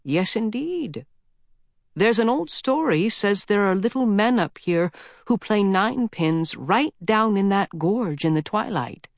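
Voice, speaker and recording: High squeaky old lady, bossy and nosy, guy doing the voice, dry close sound. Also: animated, unhurried, vocal fry, dry recording, heavily acted. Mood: spooky. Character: bossy little old countrywoman, brisk and nosy.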